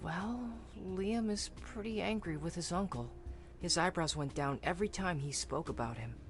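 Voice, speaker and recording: A timid, earnest young character acted by a woman with a notably low voice for a female. The delivery is hesitant and observant, in visual novel style, over clearly audible music. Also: moderate pace, natural voice. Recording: prominent music behind the voice.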